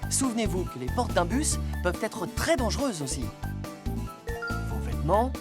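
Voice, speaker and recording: A woman's voice: medium pitch, big pitch swings, moderate pace, close to an everyday voice. A woman voicing a responsible, caring police patrol car in a French-dubbed children's cartoon, firmly and kindly teaching safety rules. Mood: instructive. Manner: instructive, firm yet kindly. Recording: loud music behind the voice.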